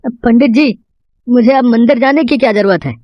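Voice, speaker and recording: A woman's voice: on the high side, moderate pace, a little mellow, no echo, lightly acted. A woman voicing a greedy, proud male village farmer in a cartoon moral story. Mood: proud, dismissive.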